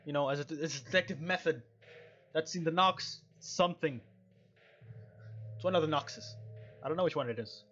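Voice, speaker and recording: A man's voice: a little high, moderate pace, pauses often, a bit bright, lo-fi sound, faint music behind. A man doing casual, rambling let's-play commentary, reading game dialogue aloud and adding jokey asides. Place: at home.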